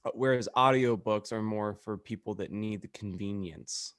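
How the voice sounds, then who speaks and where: A man's voice: on the low side, moderate pace, a bit bright, clean tone, no echo, studio quality. A man co-hosting a podcast in casual, conversational talk. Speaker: podcast co-host. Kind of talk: casual group chat.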